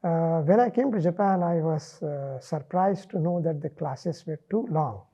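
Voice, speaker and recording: Middle-aged Indian-accented man, native Marathi speaker, husky and measured, a bit lo-fi. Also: fairly high pitch, dark tone. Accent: Indian English. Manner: measured, thoughtful, frequent "uh" pauses. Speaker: interviewee, linguist. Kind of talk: relaxed reflective interview.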